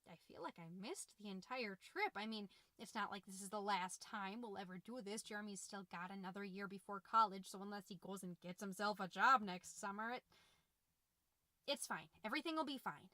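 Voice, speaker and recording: A woman's voice: mid-range voice, fairly quick, sharp tone, no room sound, close to an everyday voice. A woman playing a middle-aged, folksy, fretful suburban mom who hosts a confiding, rambling comedy podcast with anxious over-cheerfulness. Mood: anxious, hushed. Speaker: podcast host.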